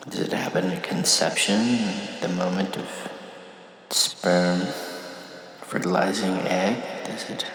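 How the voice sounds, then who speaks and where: A man delivering a reflective spiritual monologue, musing in halting phrases with long pauses between them. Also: low voice, steady tone, fairly bright tone, echoey room, a little hiss or hum, low-quality recording. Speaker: monologue speaker.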